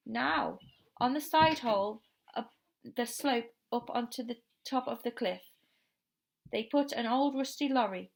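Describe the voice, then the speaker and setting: A woman with a fairly high voice narrates a children's storybook. She reads like a teacher to a class, phrasing deliberately and dramatically, leaning on questions and drawing out descriptive words. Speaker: teacher reading aloud to children.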